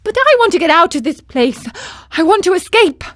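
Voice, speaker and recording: A woman voicing a frightened wooden puppet boy who cries out in high, loud, sobbing bursts. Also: sing-song, brisk, no echo, studio quality, heavily acted. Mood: eager.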